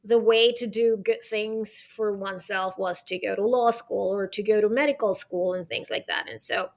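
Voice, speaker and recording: A woman from Venezuela, a guest on a podcast, talking in a lively, chatty way with many fillers like "right?". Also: medium pitch, moderate pace, creaky. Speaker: guest, arts consultant and author. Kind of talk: podcast interview.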